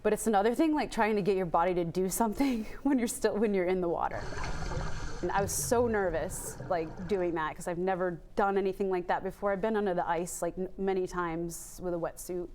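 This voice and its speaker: A woman's voice: on the low side, a little fast, darkish tone. A woman from Maine, a free diver, telling her story in a casual interview, chatty and rambling with many fillers. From Maine, USA.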